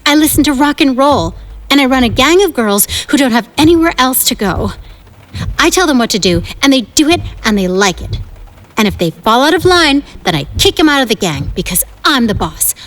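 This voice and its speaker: A woman playing a bossy, thrill-seeking teenage gang leader in a 1950s audio drama, dramatic and restless in manner. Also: high voice. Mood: defiant, conflicted.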